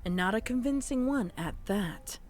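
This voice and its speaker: A woman's voice: medium pitch, lively intonation, normal speed, a little mellow, faint music behind. A woman narrating horror fiction in a scripted, dramatic storytelling style.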